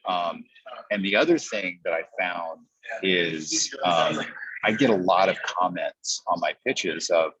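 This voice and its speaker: A man's voice: slow pace, fairly bright tone, breathy edge, no echo. A man giving a conversational training talk as a coach, explaining things step by step with example lines. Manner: explanatory, acts out example pitches in quotes. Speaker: podcast coach. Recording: dull, muffled lo-fi audio.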